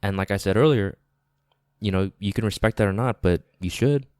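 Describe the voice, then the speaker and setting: A man giving a casual, earnest podcast monologue, repeating phrases for emphasis, slightly raspy and nasal with congestion from a lingering cold. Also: lowish voice, a little fast, darkish tone, no echo, studio quality. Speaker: podcast host. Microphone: close podcast microphone.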